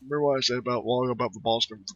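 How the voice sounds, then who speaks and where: A man giving casual, halting gameplay commentary, muttering to himself as he plays. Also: mid-range voice, fairly even intonation. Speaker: gaming video host. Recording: dull lo-fi microphone.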